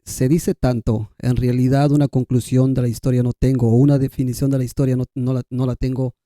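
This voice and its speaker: A Guatemalan man with K'iche' as his mother tongue tells his own story in a conversational way. His voice is very soft-edged and dark, at an average male pitch. The recording is dry and close. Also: little pitch movement, a little fast, dry recording. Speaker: podcast guest.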